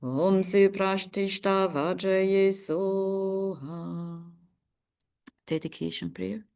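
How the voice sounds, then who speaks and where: A woman's voice: mid-range voice, fairly even intonation, extremely slow, creaky, darkish tone, smooth. Woman nun reciting prayers steadily. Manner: friendly, chatty greeting of participants. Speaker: Buddhist nun leading a puja. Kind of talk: reciting prayers and leading an online group.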